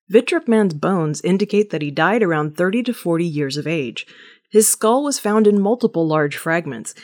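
A woman reading a scripted weekly news bulletin in a measured, steady manner. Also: lowish voice. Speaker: news reader.

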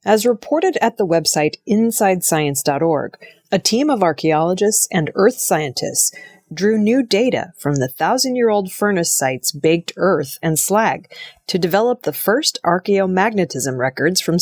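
A woman reads audio news from a script in a fairly low voice. Her delivery is measured and formal, and the sound is close and dry. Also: darkish tone, no room sound.

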